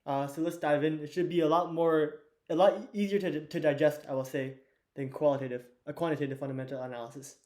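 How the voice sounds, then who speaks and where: A teenage boy with a hoarse, fading voice, teaching an investing lesson at a whiteboard in a loose, chatty way with lots of fillers and restarts. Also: fairly high pitch, fairly even intonation, fast talker, darkish tone. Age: teens. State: hoarse, losing his voice. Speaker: student teacher of an investing course.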